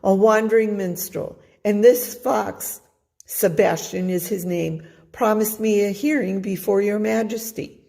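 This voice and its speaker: A woman's voice: mid-range voice, fairly even intonation, measured pace, creaky, dark tone, voice pushed up. A woman voicing an innocent, emotional young male pig in a children's storybook, earnest and plaintive. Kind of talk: children's storybook character reading.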